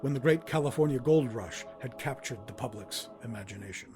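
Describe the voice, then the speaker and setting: A man's voice: mid-range voice, expressive, fairly quick. A man hosting a program with scripted narration. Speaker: host. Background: music.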